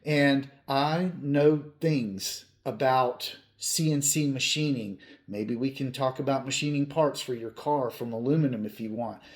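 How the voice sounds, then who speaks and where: A man's voice: medium pitch, a little slow, hardly any pauses. A man in his fifties hosting a hobby channel, talking casually to viewers in an earnest, plain-spoken way with emphatic repetition. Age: 50s.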